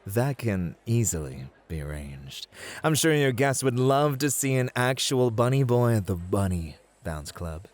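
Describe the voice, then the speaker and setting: A man playing a lively, sassy bunny-boy host. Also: medium pitch, a little slow. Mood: confident challenge.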